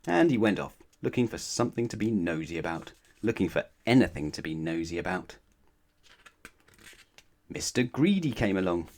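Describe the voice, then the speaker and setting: A male storyteller reads a picture book aloud for children. His voice sits fairly low and moves through a wide, animated range as he narrates expressively. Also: moderate pace.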